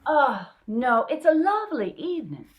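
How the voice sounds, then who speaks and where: A woman's voice: sits high, sing-song, normal speed, noisy background. An actress playing a romantic, dreamy young woman in a radio play, with a theatrical manner. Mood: dreamy, smitten.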